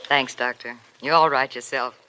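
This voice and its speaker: A woman's voice: extremely low pitch, steady tone, moderate pace, pushed and bright, a little hiss or hum, poor audio. An actress in a 1950s radio drama playing a worldly, frank woman in love with a married man, with a tough, wisecracking manner.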